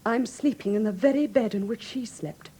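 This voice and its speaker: A woman's voice: a little high, moderate pace, warm tone, husky. An actress playing a frightened, genteel young woman with polite, earnest Received Pronunciation, in a classic radio drama. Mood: fearful, shaken. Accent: English Received Pronunciation.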